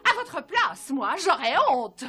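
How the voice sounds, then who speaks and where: Jealous, scheming court storyteller, piercingly bright and slow, theatrical, for an animated fairy tale. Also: female voice, high voice, soft background music, heavily acted. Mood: sly storytelling. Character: scheming jealous woman at court, spinning tales for the tsar.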